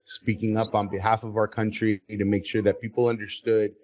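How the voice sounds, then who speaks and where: Podcast guest: American male, lower register, articulate, explains as he goes. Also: flat delivery, a little fast, darkish tone. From United States, Southern California. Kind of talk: interview conversation.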